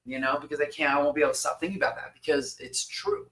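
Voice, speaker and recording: A man's voice: a little high, fast talker, a bit bright, low-quality recording. A man co-hosting a podcast, talking casually and emphatically, repeating phrases for stress. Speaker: podcast co-host. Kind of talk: casual conversation.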